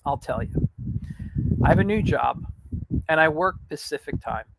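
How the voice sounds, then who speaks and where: A man hosting his podcast on a casual livestream announcement, rambling with fillers, his voice raspy at times. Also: on the high side, lively intonation, moderate pace, heavy background noise. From New York. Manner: rambling, frequent fillers and restarts. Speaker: podcast host.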